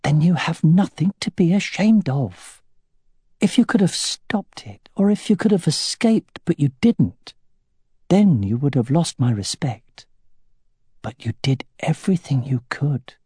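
A man voicing a young wife who comforts her husband gently but firmly. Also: on the high side, expressive, unhurried, slightly rough, no echo, clean studio sound, voice pushed up, exaggerated voice. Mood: reassuring. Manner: gentle, reassuring.

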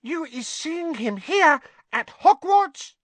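Man playing a crying female house-elf, very high squeak, odd pinched timbre, broken grammar, dry storybook read. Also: big pitch swings, slow pace, a bit bright, no room sound, full character voice. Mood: tearful distraught. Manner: broken grammar, wailing and sobbing. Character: tearful, devoted female house-elf servant.

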